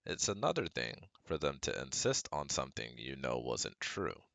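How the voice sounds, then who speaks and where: A man's voice: very deep voice, big pitch swings, normal speed, gravelly, a bit bright, no room sound, full character voice. A man playing a caring, protective best friend who gives firm, earnest advice straight to the listener in an ASMR roleplay. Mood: calm, instructive. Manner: soothing, earnest, instructive, speaking directly to listener.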